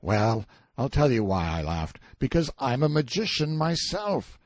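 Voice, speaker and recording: A man's voice: medium pitch, big pitch swings, a little slow, no echo, voice pushed up. A man playing a brash, self-assured man stranded in a medieval court, blunt, emphatic and colloquial, in an audiobook story. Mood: commanding.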